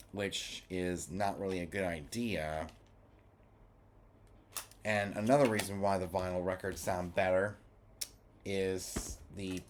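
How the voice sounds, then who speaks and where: A man giving a casual, rambling review, halting and restating his points as he goes. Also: sits low, slight background noise. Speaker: vlogger reviewing music formats.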